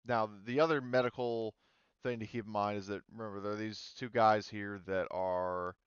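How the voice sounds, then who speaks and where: A man's voice: on the low side, fairly even intonation, normal speed, clear, resonant tone, no echo. A man running a casual online tabletop role-playing game as game master, explaining in hesitant pieces. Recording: dull, slightly muffled audio.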